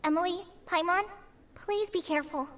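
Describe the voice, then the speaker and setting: A woman's voice: very high voice, moderate pace, fairly bright tone. A woman voicing an earnest, worried young ally in a video game, cautioning others. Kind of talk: dramatic video game dialogue.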